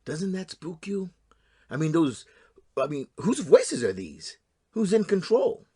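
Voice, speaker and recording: A man's voice: on the high side, huge pitch swings, a little slow, warm tone, husky, no room sound, studio quality, full character voice. An actor playing a resentful, combative blue-collar man in an audio drama, plain-spoken, sardonic and argumentative. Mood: sardonic, challenging. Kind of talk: stage-style audio drama acting.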